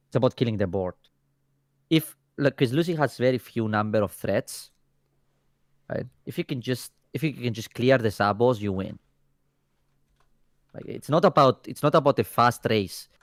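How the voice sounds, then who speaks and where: A man from Greece with a Greek accent, co-casting a card game tournament in casual, excitable talk that often restarts phrases. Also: mid-range voice, no echo, studio quality. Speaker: co-caster.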